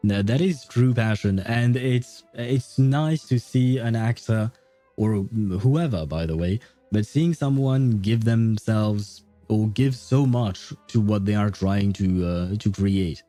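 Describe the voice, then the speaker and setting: A man's voice: fairly low pitch, takes it slowly, warm tone, faint music behind. A man chatting casually and a bit hesitantly with viewers as he hosts a gaming livestream, often rambling. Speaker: livestream host. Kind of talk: casual chat while streaming a game.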